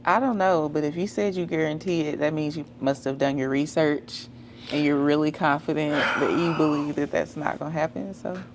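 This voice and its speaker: A woman's voice: sits low, steady tone, moderate pace, background noise. A woman hosting a podcast, asking curious, probing questions in a casual interview. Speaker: podcast host.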